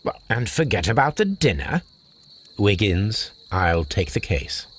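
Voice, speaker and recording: An actor playing a brilliant, eccentric Victorian English detective, formal, precise and dryly teasing, in a video game. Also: male voice, fairly low pitch, very animated, unhurried, a bit bright, slight background noise. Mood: composed, courteous.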